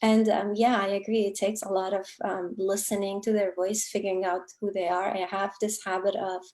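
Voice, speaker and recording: A woman whose first language is Kurdish, an author answering interview questions in a reflective, earnest way with frequent pauses and fillers. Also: mid-range voice, flat delivery, darkish tone. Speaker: author guest.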